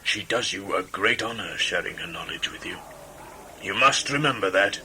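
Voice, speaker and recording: Stern middle-aged father and hunter male actor, dignified audio-drama delivery, slightly low, intensely sharp tone. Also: animated, slight background noise, exaggerated voice, low-quality recording. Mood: admonishing. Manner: measured, admonishing, formal. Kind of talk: sci-fi audio play acting.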